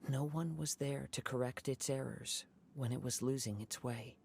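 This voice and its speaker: A young man voicing a gentle wandering samurai in a video game, with a calm, composed and reflective way of talking. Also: mid-range voice, moderate pace, vocal fry, slight background noise, lightly acted. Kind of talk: game voice acting. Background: game music.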